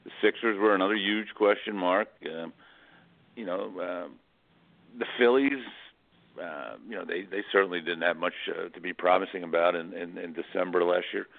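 A man talking sports casually as a radio guest, halting and often repeating words as he restarts. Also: low voice, creaky, phone-quality sound.

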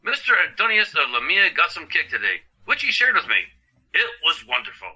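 Dull-sounding, bright-voiced declaiming man as a gentle patient. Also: fairly high pitch, wildly swooping pitch, a little fast, slightly rough, heavily acted. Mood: proud, pleased. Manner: declamatory, earnest, formal. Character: kind-hearted male hospital patient, grandly playing ancient Roman sentry. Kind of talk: audio drama acting.

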